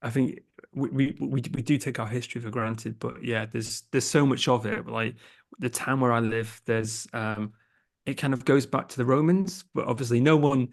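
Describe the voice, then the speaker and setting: A man's voice: mid-range voice, fairly even intonation, moderate pace, creaky. A young man with an English accent, a podcast producer chatting as a guest in a casual interview, hesitant, with many fillers and restarts. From England.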